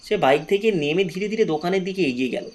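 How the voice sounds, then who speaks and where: A man's voice: high voice, animated, fast talker. A man narrating a horror story in a dramatic, scripted storytelling style.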